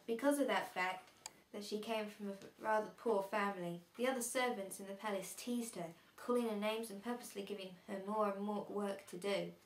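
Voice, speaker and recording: A woman's voice: medium pitch, close to an everyday voice. A woman playing a friendly older relative who reads bedtime stories in an amateur drama. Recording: roomy echo, faint background noise.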